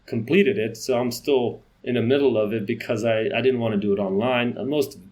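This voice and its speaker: A man in his thirties from Romania chats as a podcast guest, talking in quick bursts with restarts and small laughs. His voice sits in a middle register. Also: mid-range voice, fairly even intonation, a little mellow. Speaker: podcast guest, company CEO. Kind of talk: casual interview chat.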